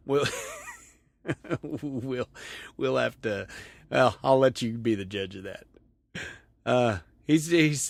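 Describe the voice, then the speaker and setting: A man's voice: mid-range voice, no room sound. A middle-aged man hosting a podcast, casually reading listener emails aloud and chatting about them, laughing often. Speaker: podcast host.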